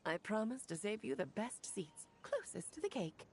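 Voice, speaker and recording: A woman's voice: mid-range voice, very animated, normal speed, background noise. A woman playing a mischievous, breezy, party-loving young woman with a theatrical, teasing manner in a video game. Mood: playful. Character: playful, mischievous, theatrical young woman who loves parties. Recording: music behind the voice.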